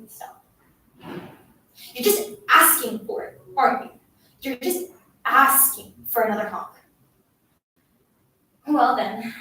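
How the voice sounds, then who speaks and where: A woman's voice: on the high side, bright, forceful tone. A woman playing a cruel schoolgirl who bullies a silent classmate with taunting, insistent commands in a dramatic story performance. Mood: goading. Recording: very echoey room, distant mic, faint noise.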